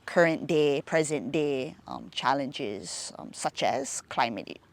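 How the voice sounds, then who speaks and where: Unhurried Hawaiian woman, slow talker. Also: low-pitched, animated. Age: middle-aged. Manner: unhurried, pausing often with fillers, warm laughs. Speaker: fishpond nonprofit director. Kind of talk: reflective interview talk.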